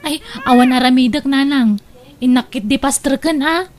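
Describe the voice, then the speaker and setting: A woman with an Ilocano accent playing a coy, soft-hearted young daughter being courted in a radio drama. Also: sits high, moderate pace, lightly acted. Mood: questioning. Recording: clear background noise.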